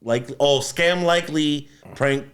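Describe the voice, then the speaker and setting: A man's voice: fairly high pitch, slow, smooth. A man chatting casually and jokingly in a group, using slang and swearing and acting out bits as he talks. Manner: animated, acts out phone bits in put-on voices; profane, slangy.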